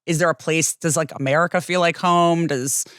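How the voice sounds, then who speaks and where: A woman's voice: low-pitched, steady tone, normal speed, a bit bright, clear, resonant tone, no echo, clean studio sound. A young woman hosting a podcast interview, gushing and chatty, full of "like" and "oh my God". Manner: gushing, many likes and oh my gods. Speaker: podcast host. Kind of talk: casual interview chat.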